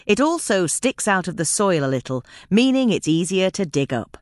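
Female podcast narrator, medium pitch with lively intonation, very dry close sound, measured scripted article reading. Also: dry recording. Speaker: podcast episode reader.

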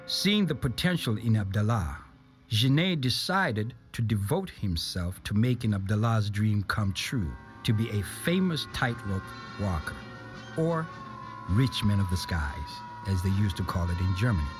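A man's voice: lowish voice, very animated, a little slow. A man reads scripted documentary narration in the role of narrator. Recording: music behind the voice. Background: music.

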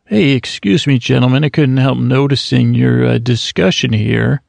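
A man voicing an overly polite city gentleman who asks gushing, ingratiating questions. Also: medium pitch, normal speed, vocal fry, no room sound. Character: overly polite male city gent, prying and fawning.

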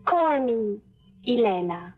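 A woman's voice: a little high, lively intonation, slow. A wary young foreign woman. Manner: wary, clipped, formal English. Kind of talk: 1950s radio drama acting. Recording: muffled 1950s radio broadcast with music.

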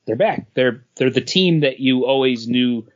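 Slow-paced man, slightly muffled recording. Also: mid-range voice. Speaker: comics podcast co-host. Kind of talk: casual chat reviewing comics. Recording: dull lo-fi sound.

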